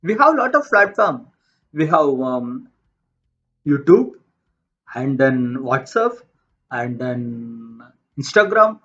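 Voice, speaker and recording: A man with a Tamil accent, a native Tamil speaker from India, talking about his own life in a halting way, with many restarts and repeated words. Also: medium pitch, sing-song, a bit bright. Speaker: motivational vlogger. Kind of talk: unscripted personal talk. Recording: dull lo-fi sound.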